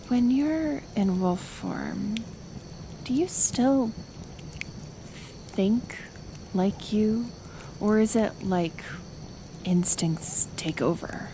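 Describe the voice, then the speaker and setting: A woman acting a kind but stubborn reclusive healer in an ASMR roleplay, gentle yet firm, unhurried, with sighs and pauses. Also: mid-range voice, noisy background. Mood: curious, softer.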